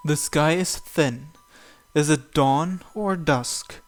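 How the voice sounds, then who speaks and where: A man reading a visual novel's story text aloud as narration, in a measured, deliberate way. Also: fairly high pitch. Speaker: video game player reading the script aloud.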